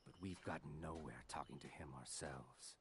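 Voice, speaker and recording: Lizardfolk dockhand, very low voice, blunt, resentful. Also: male voice, moderate pace, fairly bright tone. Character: gruff male lizardfolk dockworker, proud and bitter.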